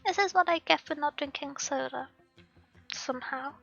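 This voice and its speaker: A woman streamer with a hoarse, sore throat, chatting casually and laughing at herself during a live game stream. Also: high voice, moderate pace, bright, forceful tone. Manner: chatty, self-deprecating, laughing. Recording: slightly dull stream audio, faint game music.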